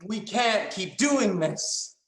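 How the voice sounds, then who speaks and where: An actor playing an earnest, pleading young time traveler in a melodramatic sci-fi screenplay reading, with halting delivery. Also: male voice, high voice, lively intonation, a bit bright, roomy sound, exaggerated voice. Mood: anguished, urgent.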